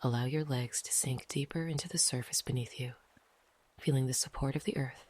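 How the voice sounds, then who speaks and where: A gentle, breathy, often whispering meditation guide speaking slowly and calmly, with long pauses between phrases. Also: mid-range voice. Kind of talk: slow, soothing guided meditation narration. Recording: noisy, ambient sound behind the voice.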